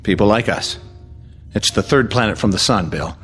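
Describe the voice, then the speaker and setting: A man playing a steady, practical spaceship pilot in a radio drama. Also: fairly low pitch, moderate pace, soft background music, close to an everyday voice. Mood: calm wonder.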